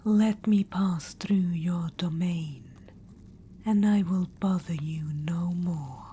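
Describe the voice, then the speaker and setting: A woman's voice: lowish voice, takes it slowly, slight background noise. A woman playing a whispering undead witch-queen. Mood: diplomatic. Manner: soft whisper throughout, calls listener darling. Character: ancient undead female witch-queen, regal, coaxing. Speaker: ASMR performer. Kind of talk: ASMR audio roleplay.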